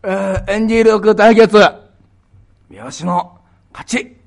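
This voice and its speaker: A man's voice: high-pitched, steady tone, drawn-out words, creaky, a little mellow. A man co-hosting a comedy radio show, grumbling and protesting through casual banter.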